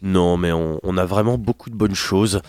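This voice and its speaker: A man hosting a radio music show, talking casually with a stuffy nose and a hoarse voice, rambling with many fillers. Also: low-pitched, normal speed, a little mellow, no room sound. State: congested and hoarse. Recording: radio studio with faint music underneath. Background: faint instrumental music.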